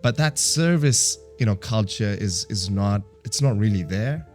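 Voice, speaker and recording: A man's voice: on the low side, animated, a little slow, a little mellow, soft background music. A man with an East African accent talking casually and reflectively in conversation, as a guest on a talk show. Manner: reflective, talkative, peppers English with some Swahili.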